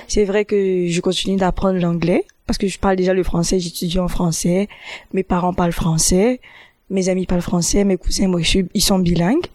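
A young woman university student answering interview questions in a hesitant, halting way, often restarting her sentences. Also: fairly low pitch, steady tone, darkish tone, no room sound. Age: young adult.

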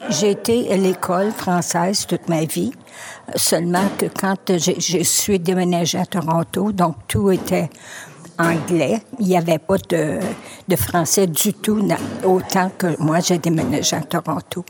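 Ontario woman, vox pop, halting, slightly low voice. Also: steady tone, darkish tone, a little hiss or hum. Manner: hesitant, restarts often. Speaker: street interviewee.

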